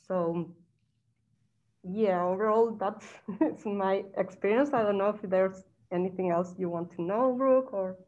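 Young woman, student on a masked video call, slow and halting with many fillers, dull lo-fi laptop sound. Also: medium pitch, a little mellow. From Chile. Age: young adult. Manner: halting, many uhs and restarts, non-native phrasing. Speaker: current graduate student. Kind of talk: answering questions on a video call. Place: bright indoor room, wearing a face mask. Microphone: laptop webcam mic.

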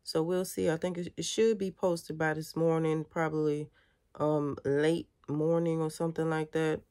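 Woman, thirties, stuffy congested voice, earnest, lowish pitch, spiritual vlog talk. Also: level tone, normal speed, darkish tone. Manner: earnest, addresses viewers as y'all. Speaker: spiritual vlogger. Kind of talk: casual spiritual talk to camera. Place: bedroom indoors.

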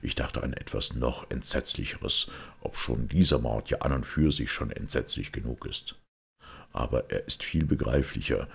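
A man voicing a grave, thoughtful priest detective who explains things in a measured, sombre way in an audiobook. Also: extremely low pitch, creaky, breathy edge, exaggerated voice. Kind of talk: audiobook character acting.

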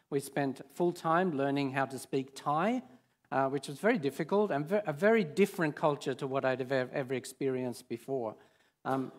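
A man in his fifties, born in Sweden with Swedish as his first language, preaching on a large church stage in a relaxed, hesitant way full of fillers. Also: medium pitch. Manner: hesitant, many fillers and restarts. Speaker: guest preacher. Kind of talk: informal sermon.

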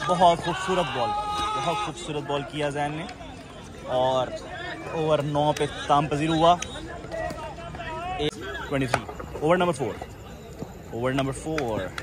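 A man with a fairly high voice calls an amateur cricket match ball by ball, getting excited on the big shots. He records on a phone at an outdoor floodlit ground. Player shouts and crowd chatter fill the background. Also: heavy background noise. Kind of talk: live ball-by-ball commentary.

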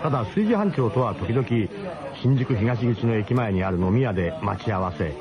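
A man's voice: on the low side, animated, normal speed, over a noisy crowd. An actor narrating a radio drama as a wry, nostalgic adventurer looking back on his gang. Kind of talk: scripted first-person radio drama narration.

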